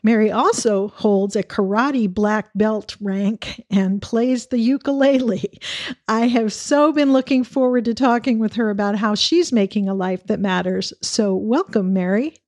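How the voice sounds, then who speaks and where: A woman's voice: mid-range voice, fairly even intonation, measured pace, a little mellow, no room sound, studio quality. A woman hosting a podcast interview, introducing her guest and asking questions in a friendly, conversational way. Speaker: podcast host.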